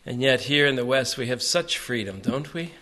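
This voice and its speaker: An American man preaching to a congregation in short phrases, pausing for an interpreter after each one. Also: mid-range voice, lively intonation, bright, forceful tone. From United States. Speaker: visiting preacher.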